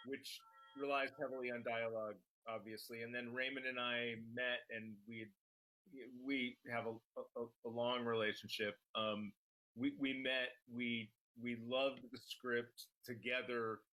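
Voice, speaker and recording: Guy w/ bright forward voice, middle pitch, explaining stuff in an interview, halting and careful. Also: steady tone, poor audio. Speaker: screenwriter and podcast creator, interview guest. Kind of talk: measured conversational explanation.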